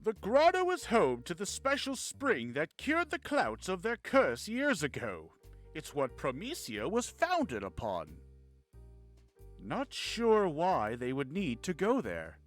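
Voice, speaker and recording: A polite, learned advisor explaining ancient lore, played by a man. His formal speech swings widely in pitch, with light music behind it in a fantasy visual novel. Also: huge pitch swings, takes it slowly, heavily acted. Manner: formal, expository. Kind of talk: amateur visual novel voice acting.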